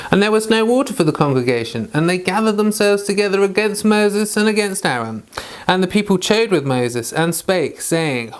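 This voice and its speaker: A man with a clear voice, reading scripture aloud with informal asides. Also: high-pitched. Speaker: Bible reader and commentator.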